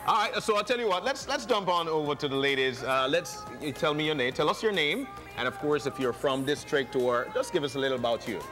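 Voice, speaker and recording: A man's voice: a little high, brisk, few pauses. A man co-hosting a live morning TV show, upbeat and laughing often, now and then singing a line. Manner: upbeat, laughs often, sings short bits. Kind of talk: casual live TV chat. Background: background music.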